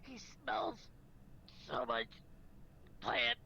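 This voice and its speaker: A woman's voice: mid-range voice, sing-song, drawn-out words, gravelly, sharp tone, lo-fi sound, full character voice. A woman voicing a proud, wary little dragon creature in an improvised online roleplay, speaking in terse, hesitant bits. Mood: sniffy, curious. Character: proud, prickly little pawed dragon Pokemon, curious but wary. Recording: noisy online voice-chat microphone.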